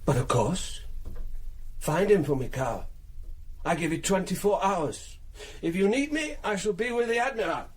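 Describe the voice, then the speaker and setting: An actor playing a shrewd, eager German intelligence colonel in a wartime radio drama, with a measured, authoritative delivery. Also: male voice, sits high, lively intonation, a little hiss or hum. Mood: brisk, decisive.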